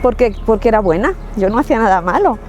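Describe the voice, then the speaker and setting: Woman, Spanish accent from La Mancha, warm mellow tone, earnest testimony, soft music in the background. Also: on the high side, expressive, fast talker, muffled recording. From Spain, Ciudad Real. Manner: earnest and reflective, with frequent restarts. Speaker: testimony speaker. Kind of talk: personal faith testimony.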